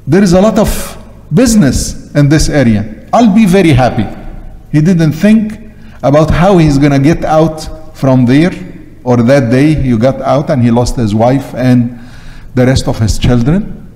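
A man's voice: medium pitch, expressive, measured pace, mellow. An older man with an Egyptian Arabic accent, a priest giving an emphatic sermon that repeats short phrases for weight. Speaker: Coptic Orthodox priest. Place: large church interior, at the pulpit. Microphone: gooseneck pulpit microphone. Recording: long church reverb.

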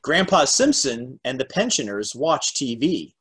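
A man's voice: mid-range voice, big pitch swings, unhurried, fairly bright tone, husky. A man reading stage directions as the narrator of an amateur script table read. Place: indoors on a home video call.

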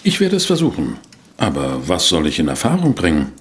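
A man's voice: low voice, huge pitch swings, a little fast, slightly rough, heavily acted. An actor playing a polite, wry, inquisitive newspaper reporter who narrates his detective adventures in a German audio drama.

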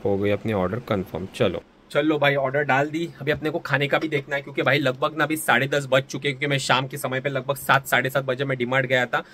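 A man's voice: medium pitch, fairly even intonation, quick pace, bright, forceful tone. A young man in his twenties, chatting casually to camera in a vlog and filming himself on a phone held at arm's length. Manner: chatty, says "bhai" constantly. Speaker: vlogger and online seller. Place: small indoor office room, also outdoors on a street at night.

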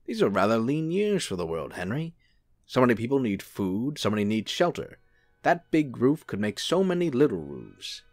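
An actor playing a charming, unruffled angel in a radio-style drama, gently teasing as he talks. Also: mid-range voice, wildly swooping pitch, moderate pace, no echo, studio quality. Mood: gently chiding. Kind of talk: radio-play style acting.

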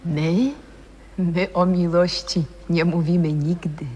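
A mocking, world-weary woman. Her husky voice is dark and dismissive, drawn out in a theatrical drawl. The old radio play recording rings with long reverb. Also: fairly low pitch, fairly even intonation, slow, muffled recording. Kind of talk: theatrical 1960s radio drama acting.

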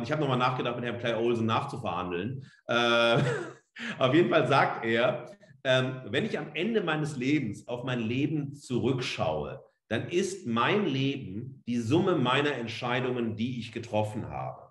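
A middle-aged man giving a free-spoken academic lecture as a professor, emphatic and rhetorical, repeating phrases and pausing for weight. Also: medium pitch. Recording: echoey room sound.

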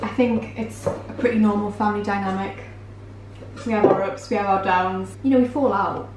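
A woman's voice: mid-range voice, fairly even intonation, moderate pace. A woman in her twenties with a British accent, chatting casually and self-deprecatingly to camera as a vlogger. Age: 20s. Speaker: vlogger answering viewer assumptions while baking. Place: home kitchen, indoors. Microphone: camera mic at a short distance. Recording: roomy, distant mic, faint background noise.

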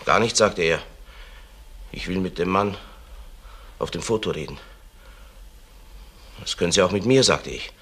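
A man's voice: low voice, fairly bright tone, slightly rough, exaggerated voice. A man playing a guarded middle-aged father and bar owner in a German crime radio drama, speaking in short, terse sentences.

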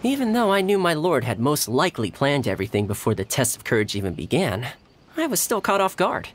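A man voicing a friendly, loyal young retainer in a video game, easygoing and lively. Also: fairly high pitch, brisk, a little hiss or hum. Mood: good-humored.

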